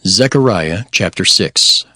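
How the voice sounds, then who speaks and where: A man narrating scripture in a measured, formal, scripted style. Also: sits low, expressive, bright, forceful tone, faint music behind.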